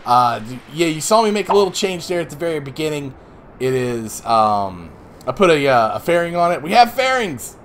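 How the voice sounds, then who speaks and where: A man in his thirties, a little hoarse today, casually explaining his moves while playing a space game, with rambling asides. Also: a little high, sing-song, moderate pace, a little hiss or hum. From Northeastern US. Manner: rambling, explanatory, self-deprecating. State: slightly hoarse. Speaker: let's play host. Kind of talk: casual gameplay commentary.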